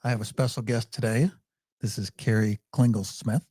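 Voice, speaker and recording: A man's voice: on the low side, fairly even intonation, moderate pace, dark tone, breathy edge, no room sound, clean studio sound. A man hosting a podcast, chatting in a friendly way and asking his guest about her life. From Michigan. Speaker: podcast host. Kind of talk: casual interview.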